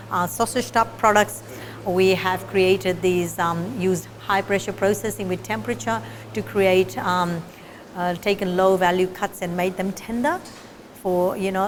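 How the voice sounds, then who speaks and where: A woman's voice: medium pitch, a little hiss or hum. A middle-aged woman from Fiji, a meat scientist, explaining her research in an interview with frequent fillers and restarts. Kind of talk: interview answers.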